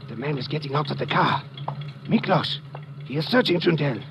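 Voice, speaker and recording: Furtive male radio-drama henchman, menacing, gravelly, on a noisy, clicky vintage recording. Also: fairly high pitch, expressive, normal speed. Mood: alarmed. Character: furtive, menacing male thug stalking and robbing a man. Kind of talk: old-time radio drama acting.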